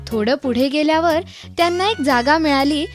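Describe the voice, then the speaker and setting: Woman narrator, high pitch, scripted children's storytelling, faint music underneath. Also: normal speed. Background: background music.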